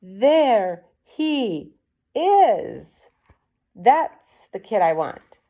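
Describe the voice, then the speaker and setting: A woman voicing a blustering, demanding male company boss in a children's storybook, forceful and insistent. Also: high voice, big pitch swings, very slow, gravelly, pitched above the performer's own voice, full character voice. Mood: delighted, commanding.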